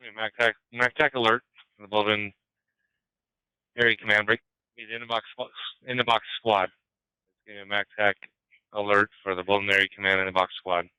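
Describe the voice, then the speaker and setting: A man working as a dispatcher, talking briskly over police radio. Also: on the low side, little pitch movement, sharp tone, smooth, no room sound, poor audio.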